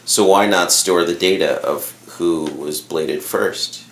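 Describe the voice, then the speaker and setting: A man's voice: low voice, takes it slowly. A man in his early forties co-hosting a podcast with casual banter. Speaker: podcast co-host. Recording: roomy echo.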